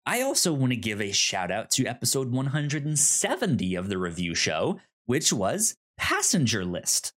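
Halting man co-hosting a casual podcast. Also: medium pitch, huge pitch swings, fairly bright tone. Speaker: podcast co-host. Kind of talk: casual review podcast chat.

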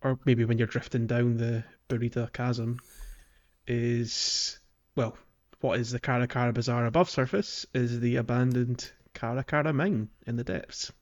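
A man's voice: mid-range voice, steady tone, normal speed, lo-fi sound. A man co-hosting a casual podcast about a video game, talking in a loose, chatty way with many fillers and restarts. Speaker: podcast co-host.

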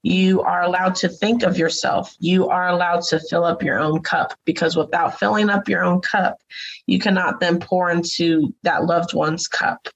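A woman's voice: on the low side, fairly even intonation, normal speed, breathy edge, dull audio. A woman, a caregiver guest, giving earnest and encouraging advice, repeating phrases for emphasis. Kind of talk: advice in an interview.

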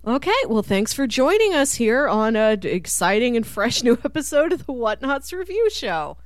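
Animated higher-voiced woman podcast host. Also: moderate pace, no echo, studio quality. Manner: chatty, laughs readily. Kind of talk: casual pop culture chat.

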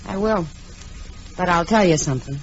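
A woman's voice: fairly low pitch, moderate pace, lightly acted. A woman playing a frank, wary, worldly American frontier saloon woman, speaking directly in a radio Western. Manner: direct, plain-spoken. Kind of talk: old-time radio Western acting. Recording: muffled, faintly noisy vintage radio recording.

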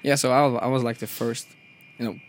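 A man's voice: mid-range voice, level tone, a little fast, a little hiss or hum. A man in his twenties from Brazil, a guest chatting casually on a podcast in a radio studio, laughing often and filling his talk with casual asides. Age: 20s. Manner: easygoing, laughs often, many fillers like "you know".

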